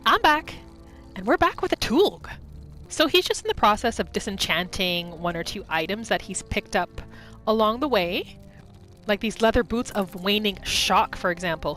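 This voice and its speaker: A woman's voice: mid-range voice, a bit bright. A woman hosting a gaming video, narrating her roleplay playthrough in a casual, chatty storytelling way. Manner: chatty, informal, storytelling in third person.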